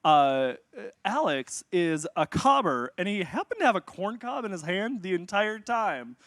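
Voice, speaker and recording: A man's voice: high-pitched, very animated, unhurried, a bit bright, dry recording. A man co-hosting a live storytelling event, bantering playfully and poking fun at himself. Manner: playful, self-deprecating banter. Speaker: event co-host.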